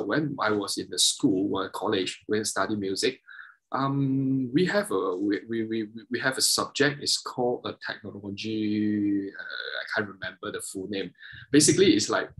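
A man in his thirties whose first languages are Mandarin and Cantonese, speaking on a video call as a guest, halting and restarting often as he explains technical details. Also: fairly low pitch, fairly bright tone, husky, low-quality recording. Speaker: guest, double bassist and violin maker. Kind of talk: casual interview over video call.

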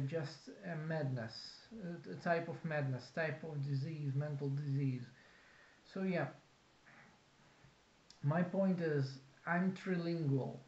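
A man in his thirties with a Russian accent, talking unscripted to a webcam in a small office, halting with many fillers and restarts. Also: fairly high pitch, a little mellow. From Kazakhstan. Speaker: vlogger. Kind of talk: unscripted talk to camera. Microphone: webcam at desk. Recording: roomy, dull lo-fi webcam sound.

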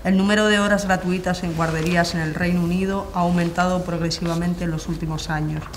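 Woman, flat monotone reading, faint room hum. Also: on the low side, brisk. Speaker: reader in a shared reading group. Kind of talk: reading an essay aloud.

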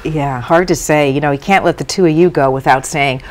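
A woman's voice: sits low, brisk, a little hiss or hum. A woman hosting a live TV news show, interviewing guests in a studio. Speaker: TV news host.

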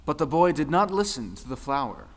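A man's voice: a little high, very animated, a little fast. A man giving scripted narration for a folktale audio drama. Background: music.

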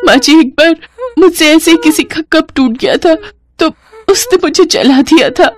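A woman's voice: high voice, normal speed, voice pushed up, close to an everyday voice. A woman voicing a timid, tearful young daughter-in-law who pleads and falters in a cartoon story. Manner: pleading, stammering.

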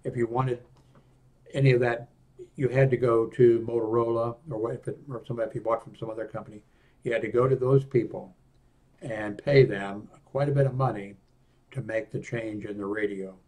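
An older man with an American accent giving an unscripted product walkthrough in a halting, rambling way that often trails off. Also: mid-range voice, steady tone, a little mellow. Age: 60s or older. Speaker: presenter of a radio equipment review. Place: small indoor room at a desk.

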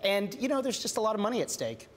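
A man's voice: high-pitched, sing-song, fast talker, a little hiss or hum. A young man reporter answering questions in a TV news studio, chatty and full of restarts and fillers.

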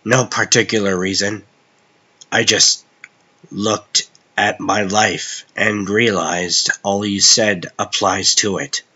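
A man playing a calm, weary costumed vigilante in a comic dub, earnest, understated and matter-of-fact. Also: on the low side, takes it slowly, breathy edge, highs cut off, full character voice. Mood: resigned.

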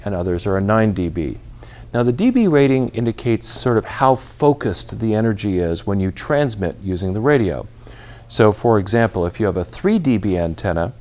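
Low-voiced middle-aged man explaining products. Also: darkish tone. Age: 50s. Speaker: product presenter in a buyer's guide. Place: large retail store, indoors. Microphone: lapel mic.

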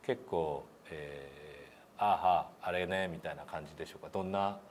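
A man's voice: a bass voice, moderate pace. A middle-aged man giving a casual, encouraging hands-on pronunciation lesson in a meeting room. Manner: encouraging, praising. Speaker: pronunciation instructor. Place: indoor meeting room, seated at a long table. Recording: faint background noise.

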